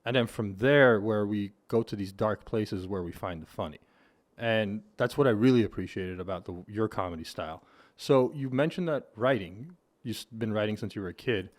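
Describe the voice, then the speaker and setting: A man's voice: lowish voice, moderate pace. A man in his thirties hosting a podcast from a home studio, talking in a thoughtful, rambling way with restarts. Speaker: podcast host. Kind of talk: casual interview chat. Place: home studio with hexagon wall panels. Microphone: boom-mounted studio mic with headphones.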